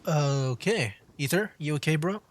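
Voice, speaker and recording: A man's voice: on the high side, expressive, a little slow. A man streaming a video game, giving casual, amused commentary as he plays.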